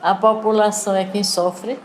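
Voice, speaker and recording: A woman's voice: medium pitch, little pitch movement, normal speed, a little mellow. Older Recife woman recounting memories, noisy recording. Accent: Recife, Northeastern Brazilian. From Recife, Brazil. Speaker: community educator and resident giving testimony. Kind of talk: conversational oral testimony, storytelling. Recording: noisy interview with background ambience. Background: clear background noise and ambience.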